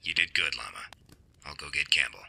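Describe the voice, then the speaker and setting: A man playing a gruff, sardonic soldier with a gravelly, hoarse rasp in a radio drama. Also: a bass voice, lively intonation, brisk, sharp tone, exaggerated voice. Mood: dry.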